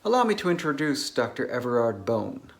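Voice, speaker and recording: A man's voice: mid-range voice, sing-song, fairly quick, vocal fry. Guy acting polite awkward scholarly single man hosting dinner, voice goes up and down a lot. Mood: genial, polite. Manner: courteous, slightly awkward, hesitant. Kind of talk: dramatized novel reading.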